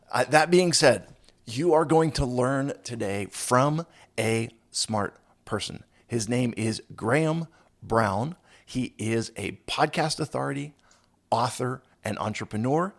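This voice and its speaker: A man hosting a podcast while hoarse from a cold, talking in an upbeat, self-deprecating, joking way. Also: medium pitch. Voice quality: raspy, gravelly. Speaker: podcast host. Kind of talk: casual interview chat.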